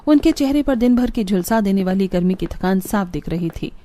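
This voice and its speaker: A woman's voice: mid-range voice, expressive, a little fast, warm tone. A woman reading a scripted moral story as its narrator. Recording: music behind the voice. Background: background music.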